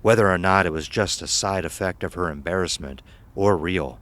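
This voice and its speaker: A man's voice: sits low, normal speed, fairly bright tone. A man reading a scripted horror story as narrator.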